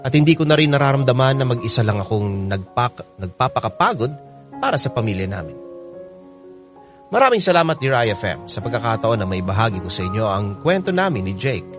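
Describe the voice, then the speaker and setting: A man's voice: medium pitch, big pitch swings, fairly quick, lightly acted. A man voicing a devoted spouse's heartfelt letter as scripted radio drama narration, earnest and sentimental. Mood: grateful, tender. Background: background music.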